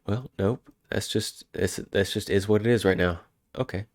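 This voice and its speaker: A man's voice: on the low side, normal speed, no echo, clean studio sound. A man with a head cold, sounding congested, chatting casually and rambling on a live stream. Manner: rambling, self-interrupting, chuckling.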